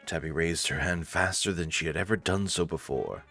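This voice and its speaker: A man's voice: deep voice, normal speed, vocal fry, bright, forceful tone. A man reading scripted narration for a visual novel. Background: faint music.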